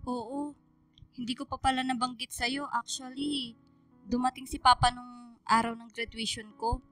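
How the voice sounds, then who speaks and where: A woman's voice: on the high side, little pitch movement, unhurried, a bit bright, soft background music. A woman with a Filipino accent playing a cheerful, sassy young adult daughter in a radio drama, playful and conversational. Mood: casual, candid.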